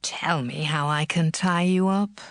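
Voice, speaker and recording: Woman doing a sly scheming temptress, slow and pleading, dry close sound. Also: low-pitched, steady tone, a bit bright, no room sound. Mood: coaxing. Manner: coaxing and reproachful. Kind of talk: dramatized scripture reading.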